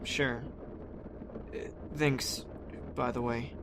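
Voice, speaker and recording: A man acting a blunt but earnest, headstrong teenage boy in a naturalistic audio drama, speaking informally and a little haltingly. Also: mid-range voice, flat delivery. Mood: sincere, awkward. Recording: clear vehicle-like background noise.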